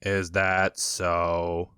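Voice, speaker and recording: A man voicing a gloomy, sarcastic old donkey who drawls slowly and deadpan. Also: low-pitched, smooth, no echo, clean studio sound, pitched below the performer's own voice, full character voice. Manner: slow, flat, deadpan drawl.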